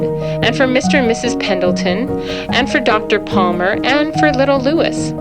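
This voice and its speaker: A woman librarian reading a children's storybook aloud in simple phrasing meant for young listeners. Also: on the high side. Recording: loud music behind the voice. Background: music.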